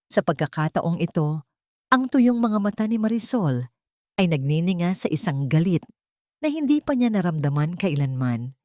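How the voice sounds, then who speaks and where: A woman's voice: fairly low pitch, darkish tone, dry recording, clean studio sound. A woman narrator reading a scripted story in a dramatic style.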